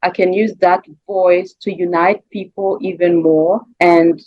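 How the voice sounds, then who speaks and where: A woman's voice: on the low side, steady tone, a little slow, no room sound, muffled recording. A woman from Rwanda with a Rwandan accent answers interview questions as a singer, searching for words and repeating phrases in a conversational way.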